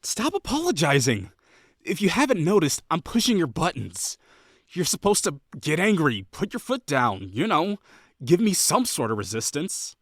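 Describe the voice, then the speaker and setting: A man's voice: fairly high pitch, wildly swooping pitch, normal speed, a bit bright, dry recording, studio quality, full character voice. A young man voicing a prickly, condescending perfectionist classmate in an audio roleplay, talking in a bossy, sarcastic way. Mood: exasperated, heated. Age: young adult. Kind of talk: scripted roleplay acting.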